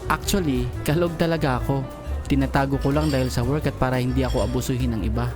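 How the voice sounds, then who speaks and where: An actor playing a gentle male boss who is in love, speaking softly and earnestly in an audio drama. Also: mid-range voice, fairly even intonation, quick pace, close to an everyday voice. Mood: playful. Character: gentle smitten male boss, secretly playful. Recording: music bed under voice. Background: romantic background music.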